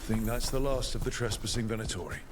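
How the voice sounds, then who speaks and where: An actor playing a matter-of-fact adventurer in a fantasy game, speaking in a dry, informal way. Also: male voice, medium pitch, fairly even intonation, fast, noisy background, close to an everyday voice. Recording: loud game ambience behind.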